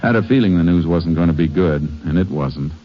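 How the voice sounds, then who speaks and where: A very low-voiced American man acting as a resolute Texas Ranger in an old radio drama. He speaks in terse, matter-of-fact lines, both in dialogue and as narrator. The recording is dull and vintage, with music behind. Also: a little fast, close to an everyday voice. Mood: grim narration. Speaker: lead actor and first-person narrator.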